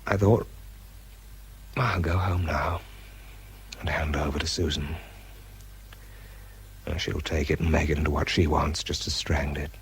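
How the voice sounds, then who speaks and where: An actor playing a weary, self-doubting British man who narrates his own story in a radio drama, reflective and halting, with a gravelly, husky voice. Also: a bass voice, steady tone, full character voice, low-quality recording. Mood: resigned.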